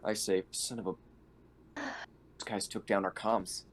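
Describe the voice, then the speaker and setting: A man's voice: fairly low pitch, takes it slowly, slight background noise. A man playing a tabletop game, talking casually as one of the players. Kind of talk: casual game-session chat.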